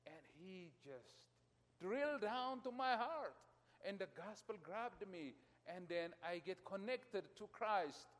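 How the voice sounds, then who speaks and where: A middle-aged man from Ethiopia preaching a sermon as a pastor. He speaks emphatically to his congregation, his loudness surging and falling. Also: high-pitched, lively intonation, slow. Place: church. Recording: long church reverb.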